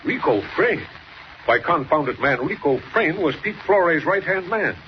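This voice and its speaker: An actor playing a stubborn, commanding governor in a 1940s radio drama, brusque and blustering. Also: male voice, on the high side, lively intonation, a little slow. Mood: indignant. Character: stubborn, blustering state governor, a grown man. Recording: dull, noisy old radio recording.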